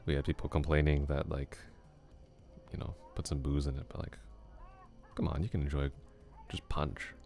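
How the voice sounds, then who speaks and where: A man playing a laid-back flirty classmate, very deep. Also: completely flat delivery, normal speed, gravelly, a little mellow, soft background music. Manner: casual, easygoing, chuckles often. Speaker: ASMR roleplay voice actor. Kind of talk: ASMR roleplay, soft close talk.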